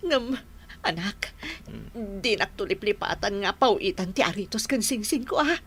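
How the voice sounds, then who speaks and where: A woman's voice: medium pitch, animated, a little slow, a bit bright, husky. A woman with a northern Philippine accent playing a fussy, sentimental middle-aged mother in a radio drama, exclaiming with emotion. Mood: tearful pride. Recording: radio drama with faint music behind.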